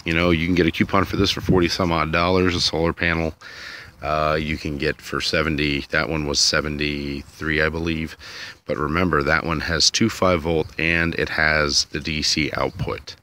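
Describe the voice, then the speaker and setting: A man's voice: extremely low pitch, level tone, normal speed, pushed and bright. A man vlogging outdoors in a sunny yard, thinking aloud in a rambling, unsure way while filming on a phone. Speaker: DIY vlogger. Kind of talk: casual thinking-aloud commentary.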